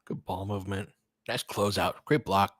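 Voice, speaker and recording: A man's voice: low-pitched, fairly even intonation, moderate pace, fairly bright tone, no echo, clean studio sound. A man giving informal live-stream play-by-play and commentary, thinking aloud as he chats with listeners.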